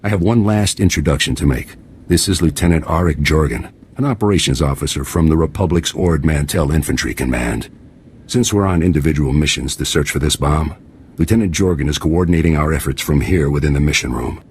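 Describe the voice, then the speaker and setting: Casting: composed commanding officer in a sci-fi military game, rumbling bass, formal and authoritative. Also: male voice, brisk, darkish tone, slightly rough, full character voice. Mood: businesslike. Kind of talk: video game voice acting.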